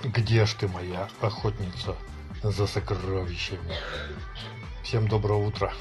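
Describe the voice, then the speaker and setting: Slow, low-voiced man. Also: fairly even intonation, music in the background. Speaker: listener leaving a voice message. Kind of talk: slow jokey voice message.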